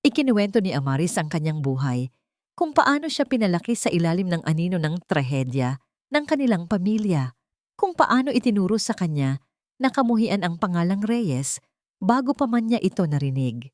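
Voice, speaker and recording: A woman's voice: lowish voice, sing-song, moderate pace, a little mellow, no room sound, clean studio sound. A woman with a Filipino accent reading a scripted dramatic story as its narrator.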